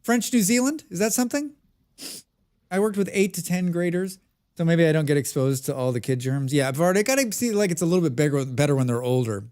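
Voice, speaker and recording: A man's voice: fairly high pitch, lively intonation, fast, darkish tone. An American man chatting casually with his viewers while playing a game on a live stream, reading and answering their comments. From United States.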